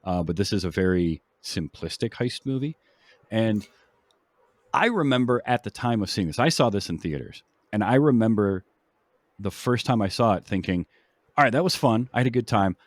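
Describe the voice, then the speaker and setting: A man hosting a film podcast, casually explaining background in a halting, explanatory way. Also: lowish voice, lively intonation, dry recording.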